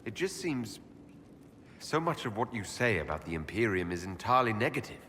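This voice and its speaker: A male elven leader of a fantasy order in a video game. His fairly low voice moves freely in pitch while staying calm, direct and probing. It rings with long hall reverb. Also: slight background noise. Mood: curious, probing. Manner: direct, inquisitive, measured. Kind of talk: scripted video game character dialogue.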